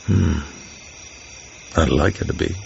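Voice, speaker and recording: An actor playing a suave, wry British secret agent with an English accent in a radio drama. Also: male voice, sits low, slow pace, vocal fry, darkish tone, slight background noise, full character voice. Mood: wry, flirtatious. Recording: muffled radio drama with music.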